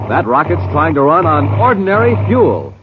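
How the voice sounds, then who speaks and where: A man's voice: a little high, very animated, moderate pace. An American man announcing and narrating a 1950s radio show with a dramatic, emphatic showman delivery. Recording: muffled, noisy 1950s radio recording.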